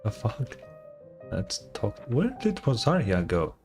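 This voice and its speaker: A game streamer chats informally in a medium-pitched voice, reacting freely with slangy, sweary commentary. Faint game music plays behind the voice. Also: very animated, takes it slowly, a little mellow. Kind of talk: casual livestream game commentary.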